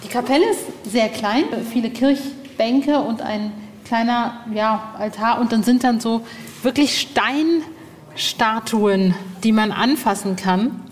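A woman with a fairly high voice presents a casual on-location reportage. She chats informally and curiously as a host. Clear ambient noise from the site runs behind her. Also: slow. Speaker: podcast host. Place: on foot around a castle. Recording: noisy location recording with ambience.

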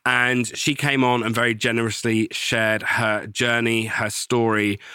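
A man's voice: medium pitch, flat delivery, a little slow, clear, resonant tone, no echo. A man hosting a podcast, introducing his guest in a jokey, chatty way and talking over a video call. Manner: warm, jokey, apologetic asides. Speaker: podcast host.

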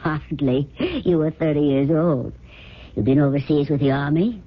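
An actress playing a worldly, cynical secretary and mistress, dry and teasing, with a husky voice, in a 1970s radio drama. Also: female voice, low-pitched, fairly even intonation, moderate pace. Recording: muffled old radio recording.

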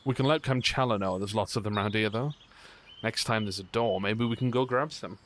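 A man's voice: lowish voice, a little fast, a bit bright, slight background noise, close to an everyday voice. An actor playing an offhand, chummy henchman in a horror audio drama.

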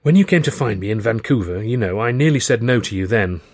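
A man's voice: fairly low pitch, animated, fast. A man voicing a loving but weary and firm young woman in audiobook dialogue, speaking earnestly and pointedly. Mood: pleading, weary.

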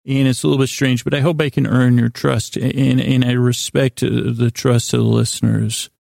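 A man with a mellow, creaky voice hosts a bedtime podcast. He rambles from one aside to the next, stumbling, restarting and circling back over his own words. The sound is dry and close, with gravel in the voice. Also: mid-range voice, flat delivery, dry recording. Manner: halting, stumbling, repeats himself, loses his thread. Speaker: podcast host. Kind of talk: rambling, digressive bedtime monologue.

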